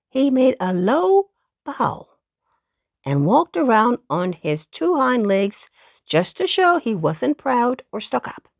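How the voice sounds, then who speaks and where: Slow, animated woman storyteller. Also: sits high, dry recording, clean studio sound. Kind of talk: expressive children's story narration.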